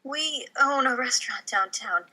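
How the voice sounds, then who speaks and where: A woman's voice: high-pitched, lively intonation, a little slow, pushed and bright, exaggerated voice, rough recording. A woman voicing an earnest restaurant owner in a casual comedy script read. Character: earnest young restaurant-owning sister.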